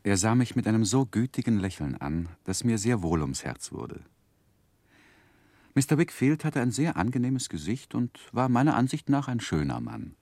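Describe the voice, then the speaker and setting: Low, expressive gentleman narrator. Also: normal speed, dry recording. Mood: reflective, tender. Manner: reflective, carefully phrased, expressive. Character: earnest gentleman recounting his boyhood, tender and reflective. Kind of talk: literary first-person narration in 1960s German radio drama.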